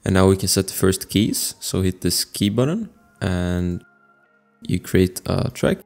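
A man with a low, creaky voice narrates a casual software tutorial. He explains each step informally, close to the microphone. Faint music plays behind him. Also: sing-song, measured pace. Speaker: tutorial presenter. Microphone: close desktop microphone voice-over.

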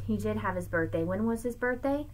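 A middle-aged woman teacher giving an expressive, emphatic read-aloud lesson for children, recorded on a webcam in a room at home. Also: medium pitch, a little fast, low-quality recording. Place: small room at home with bookshelves, indoors. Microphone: webcam at arm's length.

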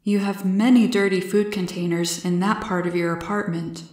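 A woman's voice: medium pitch, steady tone, moderate pace, a little mellow, roomy sound, close to an everyday voice. Woman voicing a curious, formal male alien cloud. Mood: polite, matter-of-fact. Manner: formal, literal, inquisitive phrasing. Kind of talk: storybook character voice.

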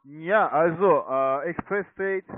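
A man's voice: a little high, takes it slowly, dry recording. A man working as a telephone sales agent, speaking hesitantly in broken phrasing as a non-native speaker. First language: not German. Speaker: telemarketing caller, online trading account manager. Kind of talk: phone sales pitch. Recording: tinny narrowband phone line.

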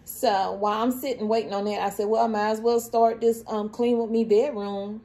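A woman's voice: on the high side, flat delivery, normal speed, mellow. A middle-aged woman chatting casually and informally to the camera as a home vlogger, recorded in a bedroom.

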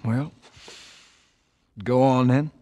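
An actor playing a guarded, resentful younger brother in a video game, firm, with a drawl. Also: male voice, mid-range voice, fairly even intonation, very slow, warm tone, no room sound. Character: guarded, resentful younger brother, rugged adult male survivor. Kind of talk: dramatic video game acting.

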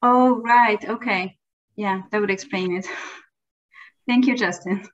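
A woman's voice: mid-range voice, takes it slowly. Middle-aged female moderator, courteous introductions, dull video call audio. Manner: polite, slightly apologetic. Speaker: panel moderator. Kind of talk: moderating a conference panel. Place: office with shelves.

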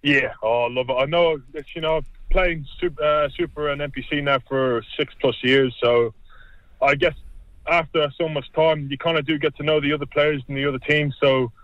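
A man's voice: fairly high pitch, normal speed, no room sound. Irish guy in his twenties chatting loose, a bit muffled, dry sound. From Ireland. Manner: relaxed, rambling, repeats himself. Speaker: rugby player, guest. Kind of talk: casual interview chat.

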